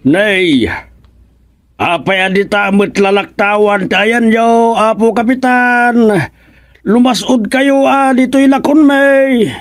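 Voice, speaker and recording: A man doing a fussy, excitable old villager. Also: extremely high pitch, takes it slowly, soft background music, full character voice. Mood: fussing. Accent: Ilocano. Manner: exclamatory, pleading, animated. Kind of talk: radio drama acting.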